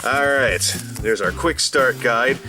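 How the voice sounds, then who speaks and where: A man's voice: medium pitch, takes it slowly, music in the background. A man hosting a casual, unscripted gadget unboxing, rambling and interrupting himself as he thinks aloud. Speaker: gadget unboxing video host. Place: indoors at a desk, small room.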